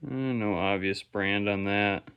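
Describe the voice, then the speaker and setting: Man, low voice, casual show-and-tell host, unscripted, musing, rambling asides. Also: fairly even intonation, unhurried, smooth, dull audio. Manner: musing, rambling, thinking aloud. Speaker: host of a vintage electronics inspection video.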